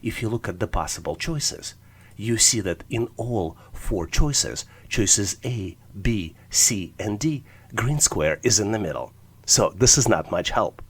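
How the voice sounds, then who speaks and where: Guy with a lowish raspy voice explaining test questions step by step, like an online instructor. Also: animated, unhurried. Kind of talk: explanatory tutorial narration.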